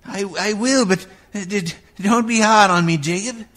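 An actor playing a querulous, fearful old miser in a radio play, hesitant and stammering. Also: male voice, sits high, moderate pace, a bit bright. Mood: nervous pleading.

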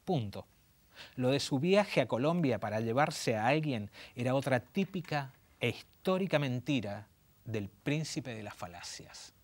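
A man in his forties with an Argentine Spanish accent, hosting and reading a story aloud in an intimate, theatrical way with slow, dramatic pauses. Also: medium pitch. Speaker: host and narrator. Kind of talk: late-night story reading. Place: small dim studio set.

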